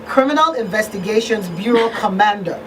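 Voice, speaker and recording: A woman's voice: mid-range voice, expressive, fairly quick. A woman hosting a live call-in radio talk show. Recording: noisy live radio, slightly distant.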